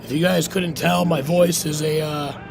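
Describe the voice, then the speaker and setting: A man with a hoarse, worn voice hosting a casual livestream. He rambles, coughs and swears as he chats. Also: a little high, fairly even intonation, moderate pace, slight background noise. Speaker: livestream host.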